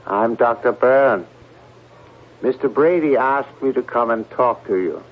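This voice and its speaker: An actor playing a calm, solicitous psychiatrist in a 1970s radio drama, gentle and deliberate. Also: male voice, mid-range voice, lightly acted. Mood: calm, reassuring. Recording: thin, phone-like old radio broadcast.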